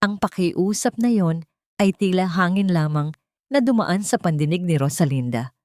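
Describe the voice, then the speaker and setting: Woman narrating drama, low-pitched. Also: expressive, normal speed, a little mellow, no echo, clean studio sound. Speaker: story narrator. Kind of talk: dramatic scripted story narration.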